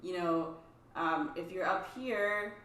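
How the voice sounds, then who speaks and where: A woman's voice: lowish voice, slow pace, a bit bright. Easygoing woman explaining string technique. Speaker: co-host, string teacher. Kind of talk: casual teaching chat. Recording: echoey room, distant mic.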